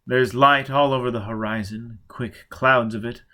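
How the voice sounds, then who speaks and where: A blunt, rough-edged man in an audiobook scene, read by the male narrator in close to his own voice. He speaks plainly and steadily, with an earnest and wary edge. Nothing about him is ornate. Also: medium pitch, fairly even intonation, moderate pace, bright, forceful tone, natural voice.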